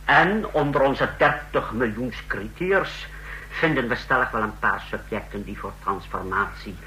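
An actor in a Dutch radio play voicing a proud, menacing secret-service officer with a clipped, formal way of briefing. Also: male voice, medium pitch, measured pace, bright, forceful tone, poor audio. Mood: self-assured briefing. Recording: faint hum, old radio recording.

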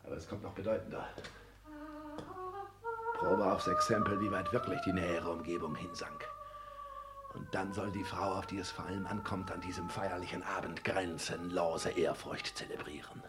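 An actor playing a triumphant, calculating schemer in a grandiose monologue from a 1960s German radio drama. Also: male voice, low voice, fairly even intonation, normal speed, very noisy, close to an everyday voice. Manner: declamatory, grandiose. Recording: echoey, distant, with loud music. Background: loud music.

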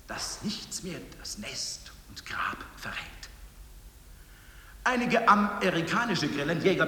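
A man reads scripted, documentary-like narration in a measured, articulate way. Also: on the high side, fairly bright tone. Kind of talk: scripted documentary-like narration in an experimental audio piece. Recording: echoey hall with noticeable hiss.

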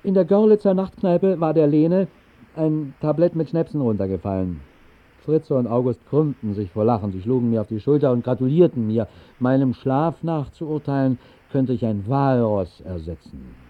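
A whimsical storyteller acted by a man recounts a dream in ornate, mock-solemn style. His voice is mid-pitched. It comes through a thin, phone-like old radio recording. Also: animated, normal speed, close to an everyday voice. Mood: wry, dramatic. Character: whimsical, tipsy Berlin night-owl narrator recounting his absurd dream. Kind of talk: literary radio-play narration.

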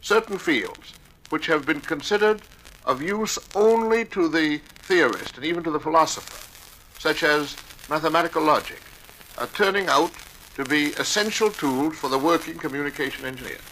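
Sharp, bright-voiced academic man. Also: fairly high pitch, animated, moderate pace. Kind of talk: formal academic statement. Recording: noisy, sharp archival recording.

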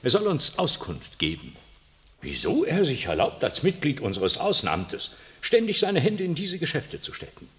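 An actor playing an imperious, evasive aging pope in a 1960s radio drama, speaking in a lofty, cold way and using the royal we. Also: male voice, a little high, huge pitch swings, moderate pace. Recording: long reverb of a large room.

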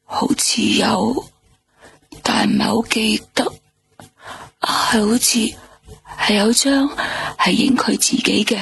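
A weary, gravelly nightclub hostess. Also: female voice, on the high side, slow pace, pauses often, a bit bright, slight background noise, full character voice. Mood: weary, bitter. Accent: Hong Kong Cantonese. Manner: tired, defensive, sometimes whispering. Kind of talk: radio drama acting.

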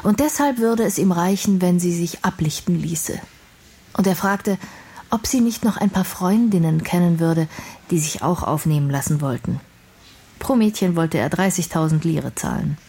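A woman's voice: on the low side, lively intonation, moderate pace, dark tone, slightly rough. An actress playing an intelligent, outspoken young woman in a radio play, speaking in an articulate, reflective and earnest way. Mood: subdued.

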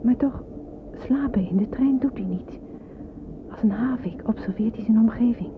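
A woman's voice: a little high, moderate pace, close to an everyday voice. Actress as anxious young woman, tinny noisy recording. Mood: anxious, brooding. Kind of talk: radio play acting with hushed inner monologue. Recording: tinny noisy old radio play recording.

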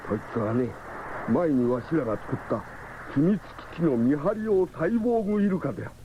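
A man's voice: a little high, animated, brisk, close to an everyday voice. A man playing a kindly old scientist who explains things in old-man phrasing, in a 1970s radio drama. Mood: informative. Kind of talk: 1970s anime-style radio drama acting. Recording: tinny, noisy 1979 radio recording.

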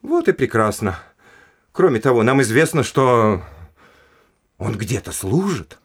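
An indignant, domineering aristocrat in an audio drama. His mid-pitched voice swings widely as he lays down the law. The delivery is emphatic and full of haughty scorn. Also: big pitch swings, measured pace, breathy edge, heavily acted. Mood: brisk, confident. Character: indignant, haughty aristocratic brother, an imperious official. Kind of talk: Russian audio drama acting.

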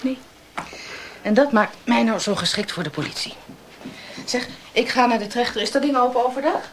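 Sardonic policewoman, very lively intonation. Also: a little high, moderate pace, background noise. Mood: irritated, brisk. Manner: dry, sarcastic, blunt. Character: sardonic, brusque female police detective. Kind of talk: naturalistic Dutch radio play acting.

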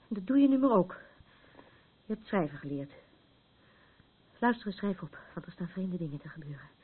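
The recording is narrow and phone-like. a battered, anxious woman in a radio drama. Her voice is fairly low and husky, and she speaks in halting, repetitive rambles. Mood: brooding, insistent. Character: troubled, battered woman, anxious, defending her abuser, insisting she is sober. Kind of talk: radio play acting. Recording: muffled, phone-like narrow band.

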